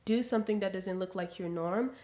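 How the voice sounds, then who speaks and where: Casting: woman, mid-pitched, earnest and encouraging podcast guest, roomy echoing recording. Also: a little fast, dark tone. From Dominican Republic. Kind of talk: casual podcast conversation, giving advice. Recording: roomy, echoing indoor sound.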